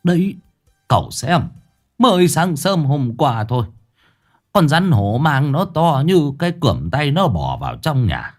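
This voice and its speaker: A man does a worried old grandfather, in a voice close to his own. He explains earnestly, with a lively lift in pitch and soft music behind. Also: a little slow.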